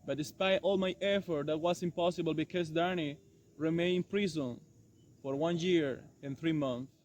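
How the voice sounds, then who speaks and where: A man playing a regretful French doctor and former prisoner in an amateur dramatized monologue, speaking haltingly and correcting himself. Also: a little high, steady tone, darkish tone, close to an everyday voice. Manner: halting, hesitant, self-correcting delivery. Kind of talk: amateur dramatized monologue retelling past events. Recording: dull lo-fi sound, faint noise.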